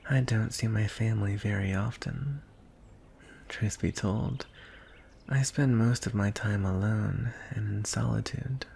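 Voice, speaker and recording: Lonely, courtly dragon suitor acted by a man, fantasy ASMR; hushed, lowish creaky voice over faint noise. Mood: wistful, longing. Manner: soft, hushed, breathy delivery with deliberate pauses. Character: lonely, courtly male dragon wooing a prince.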